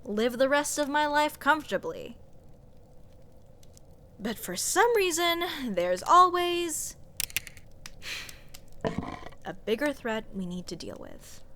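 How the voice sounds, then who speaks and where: A woman's voice: sits high, sing-song, bright, forceful tone, slight background noise, exaggerated voice. A woman playing a snarky, exasperated sorceress adventurer in a fantasy comedy audio roleplay, sarcastic and nitpicky, stressing and stretching her words. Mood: sardonic, musing. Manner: sarcastic, scoffing, emphatic with drawn-out words.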